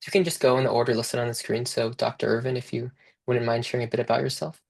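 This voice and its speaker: A man's voice: medium pitch, little pitch movement, fast, vocal fry, a little mellow. A young man moderating an online panel as a student research director, reading a prepared introduction in a friendly way.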